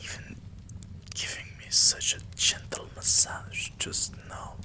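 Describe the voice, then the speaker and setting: A man playing a doting, possessive vampire king in an intimate ASMR roleplay, breathy and soothing, coaxing with pet names. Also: slow, bright, forceful tone. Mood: whispered, indulgent. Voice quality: breathy, whispery.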